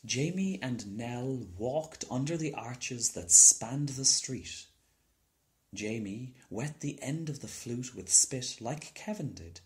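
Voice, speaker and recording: A man's voice: mid-range voice, lively intonation, takes it slowly. A man narrating a children's storybook as a scripted reading. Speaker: storybook reader.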